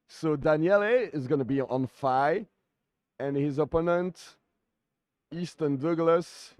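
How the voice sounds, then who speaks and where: A man co-commentating a card game tournament in a conversational, somewhat halting way. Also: on the high side, darkish tone, no echo.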